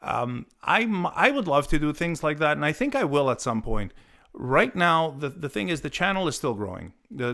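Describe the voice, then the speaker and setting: A man's voice: on the low side, fairly bright tone. A man in his forties, born in Italy with Italian as his first language, chatting casually on a live stream with many fillers and restarts. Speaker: guitar channel host.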